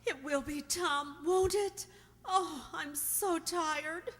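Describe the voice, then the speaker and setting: A woman's voice: sits high, slow, fairly bright tone. A woman playing a distraught, fragile young sweetheart with melodramatic pleading in a radio play. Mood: tearful relief. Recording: echoey hall.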